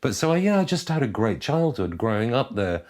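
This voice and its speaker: A middle-aged man who grew up in Argentina, speaking as a guest musician in a relaxed interview with an easygoing, reflective manner. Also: mid-range voice, moderate pace. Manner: easygoing, reflective, chuckles at his own jokes.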